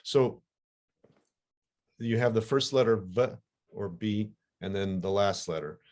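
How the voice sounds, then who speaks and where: A man whose first language is Ukrainian, hosting a podcast and explaining things in a conversational, animated way with frequent restarts. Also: lowish voice, takes it slowly. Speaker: podcast host. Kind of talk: conversational interview.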